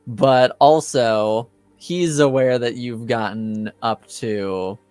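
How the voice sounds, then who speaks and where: A man's voice: mid-range voice, slow, a little mellow, no room sound, faint music behind. A man acting as game master, improvising narration for a tabletop roleplaying game with the players.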